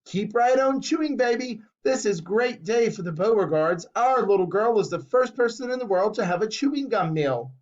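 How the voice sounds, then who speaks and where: A man's voice: high-pitched, lively intonation, moderate pace, few pauses, distant mic, voice pushed up. Man doing a hearty, boastful dad, muffled. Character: loud, proud father, a middle-aged man. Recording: muffled lo-fi recording.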